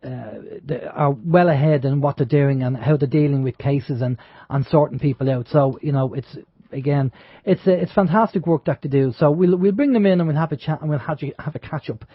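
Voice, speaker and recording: An Irish man hosts a talk radio show in a fairly high voice, rambling along with lots of ums and restarts. The sound is dry and a little dull, with no room around it. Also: fairly quick, breathy edge, no echo. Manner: rambling, many fillers and restarts. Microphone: studio microphone. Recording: dull, lo-fi internet radio audio.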